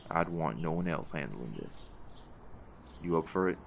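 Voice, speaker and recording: A man playing a seasoned, folksy leader of a hunt in an audio roleplay, gravelly and reassuring, with a fond teasing streak. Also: a bass voice, expressive, normal speed, slight background noise, heavily acted. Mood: calm, encouraging.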